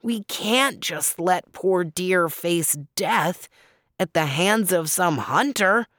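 A slow, gentle old male turtle from a storybook, voiced by a woman reading aloud. He speaks solemnly, in a low voice at an unhurried pace. The sound is dry and close. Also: lively intonation, no echo. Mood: earnest.

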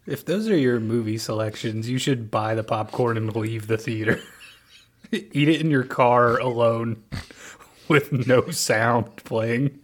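A man's voice: medium pitch, slow pace. A man co-hosting a podcast, hesitant and sarcastic in casual banter. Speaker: podcast co-host.

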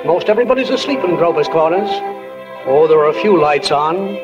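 An actor playing a plain, folksy, reflective small-town narrator in a 1940s radio drama. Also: male voice, on the high side, expressive, a little fast, lightly acted. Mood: quiet, folksy. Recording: dull old 1940 radio recording, clear music behind.

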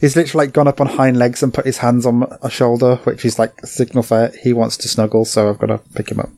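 A man hosting a casual podcast, giggly and rambling, his voice going hoarse. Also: mid-range voice, little pitch movement, fast, darkish tone, dry recording. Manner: giggly, rambling, self-interrupting. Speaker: podcast host. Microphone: home microphone.

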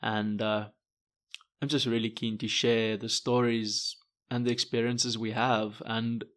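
A man's voice: on the low side, flat delivery, unhurried, fairly bright tone. A man, a podcast co-host and adventure guide, talking in an earnest, reflective way with many fillers. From South Africa. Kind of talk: casual podcast talk.

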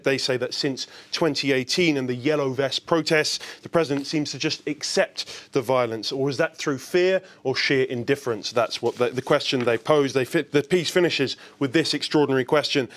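A man delivers a live newspaper review for a news broadcast. His voice sits at a middle pitch, and he talks viewers through headlines as a presenter would. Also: fairly bright tone. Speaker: press reviewer on a TV news show. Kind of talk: live press review commentary.

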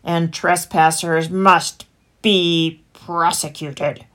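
Woman as menacing male fox, storybook villain, growling, stern, slow drawn-out threats. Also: fairly low pitch, fairly even intonation, fairly bright tone.

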